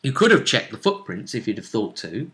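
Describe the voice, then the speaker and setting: A man with a forceful, bright voice talks through a tabletop role-playing session as its game master. His delivery is casual and a little hesitant, as he works things out aloud. His pitch sits in an ordinary male range. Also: very animated, brisk.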